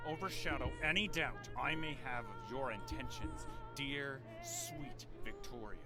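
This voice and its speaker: An actor playing a self-righteous, bitter vampire preacher in a video game, with theatrical, archaic and pompous diction. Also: male voice, medium pitch, unhurried, loud music behind the voice, close to an everyday voice. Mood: scornful, demanding.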